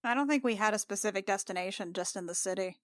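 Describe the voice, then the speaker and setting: A woman's voice: medium pitch, quick pace, no echo, studio quality. A woman playing a tabletop game, chatting casually. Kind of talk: casual chat.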